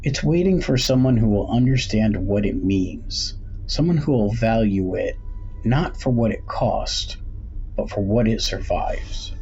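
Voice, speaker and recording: A man's voice: lowish voice, expressive, a little mellow. Man playing an eerily pleasant, swooping middle-aged shopkeeper. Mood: cryptic, coaxing. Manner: welcoming, measured, cryptic. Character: mysterious, unsettlingly pleasant middle-aged male antique shopkeeper. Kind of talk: storybook character voice in suspense tale. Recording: dull recording with faint background noise.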